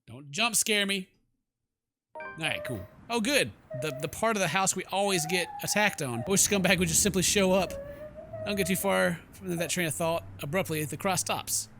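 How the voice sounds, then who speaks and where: A man's voice: high-pitched, moderate pace, background noise. A man streaming a game, reading narration aloud and breaking into playful, casual asides. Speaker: gaming streamer. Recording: game music behind the voice.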